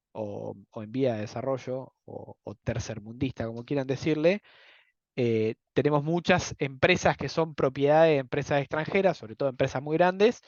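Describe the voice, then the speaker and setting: A man's voice: fairly high pitch, dry recording, lo-fi sound. A young Argentine man teaching an economics class, explaining step by step with many filler words and checks that students follow. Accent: Argentine Spanish. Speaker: economics lecturer. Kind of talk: university lecture.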